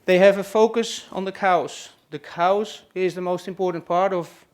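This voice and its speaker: A man's voice: high-pitched, steady tone, moderate pace. A man from the Netherlands with a Dutch accent, introducing a family to a live audience and hesitating often with fillers. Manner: hesitant, many "uh" and "let's say" fillers. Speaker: presenter hosting a farm tour session. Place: room at a trade expo.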